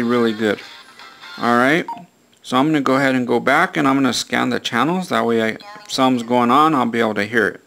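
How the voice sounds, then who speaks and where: A man with a creaky, gravelly voice at an ordinary male pitch talks through a casual hands-on review. He is plain-spoken and repeats himself, like an amateur showing off something he bought. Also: fairly bright tone, low-quality recording. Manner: plain-spoken, repetitive, frequent "all right".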